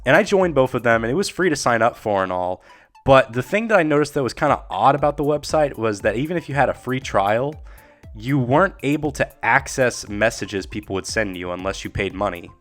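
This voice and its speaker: A young man hosting a YouTube talk series, rambling and candid in casual commentary, his voice a little hoarse from a cold. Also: mid-range voice, expressive, fairly quick, soft background music. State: slightly hoarse, getting over a cold. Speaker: YouTube host.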